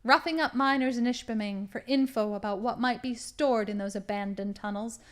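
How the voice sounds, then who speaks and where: A woman playing a scheming, menacing female crime boss in an audio drama, with a deliberate, theatrical delivery. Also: a little high, fairly bright tone, natural voice. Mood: gloating, cold.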